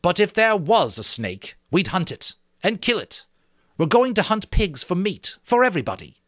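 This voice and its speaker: A man's voice: high voice, very animated, moderate pace, fairly bright tone, no echo, studio quality, voice pushed up, heavily acted. A man voicing an earnest young schoolboy leader making emphatic speeches to a group in a dramatic story reading. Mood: insistent. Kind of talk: dramatic audiobook character reading.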